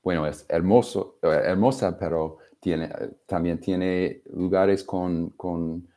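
Slow, halting man with a deep voice. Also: fairly even intonation, dark tone. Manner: halting, searching for words, many fillers. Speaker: singer-songwriter guest. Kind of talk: interview answers.

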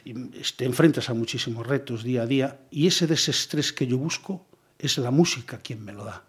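A man's voice: medium pitch, a little fast, husky. A middle-aged man from Galicia, talking expansively as a guest in a casual radio interview, often tagging his phrases with "eh?" and repeated yeses. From Galicia, Spain. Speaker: guest, police commissioner.

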